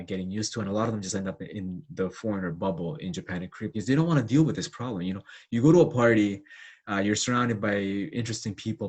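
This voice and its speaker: A man's voice: fairly low pitch, a little mellow, slightly rough. A young man talking casually with many fillers and restarts, sharing his experiences as co-host. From Canada. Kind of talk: casual discussion.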